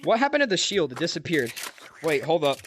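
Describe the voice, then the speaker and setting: A man's voice: fairly high pitch, very animated, brisk, a crowd in the background. A man hosting a gaming video, chatting casually and joking with a teammate while he plays. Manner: jokey, chatty, talks to teammate while playing. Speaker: gaming channel host. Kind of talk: casual gameplay commentary. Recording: gunfire and clicks behind. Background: game gunfire.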